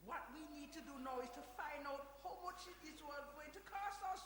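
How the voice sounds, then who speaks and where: A woman's voice: sits high, a little fast, a bit bright. A woman acting in a radio play as a bossy, upbeat community centre organiser. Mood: brisk, organising. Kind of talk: radio drama performed before an audience. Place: hall, recorded live. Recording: echoey hall with background noise.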